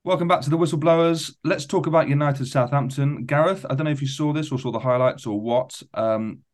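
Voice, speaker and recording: A man's voice: on the high side, brisk, darkish tone, no room sound, clean studio sound. A man hosting a podcast, chatting casually about football. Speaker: podcast host.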